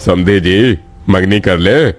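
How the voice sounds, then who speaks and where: Man as deep, stately older patriarch. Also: takes it slowly, soft background music. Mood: gracious. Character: dignified, kindly older rich father-in-law. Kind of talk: Hindi cartoon story dialogue.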